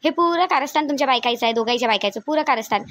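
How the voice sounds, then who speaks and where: A woman's voice: high-pitched, lively intonation, rapid-fire, fairly bright tone, no echo. A woman playing a stern, bossy mother-in-law in a family drama, scolding insistently in a Varhadi Marathi accent. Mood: accusing. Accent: Varhadi Marathi of Vidarbha. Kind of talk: amateur family comedy-drama dialogue.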